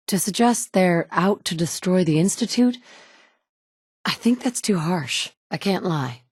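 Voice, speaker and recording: Blunt wasteland survivor. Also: female voice, fairly low pitch, a little mellow, dry recording, clean studio sound. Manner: terse, matter-of-fact, blunt. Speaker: player character in a video game. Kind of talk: video game voice acting.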